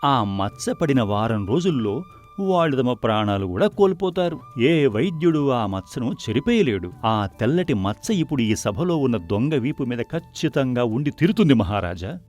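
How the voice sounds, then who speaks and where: A man playing a clever, confident court servant in a cartoon story, speaking dramatically and deliberately. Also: medium pitch, sing-song, darkish tone, soft background music, lightly acted. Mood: grave, dramatic. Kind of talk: animated cartoon story dialogue.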